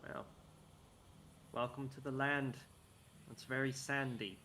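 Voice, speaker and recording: A man's voice: mid-range voice, fairly even intonation, slow, sharp tone, slight background noise. A man voicing a courteous, scholarly male desert guide in a put-on Icelandic accent, speaking formally and explaining at length.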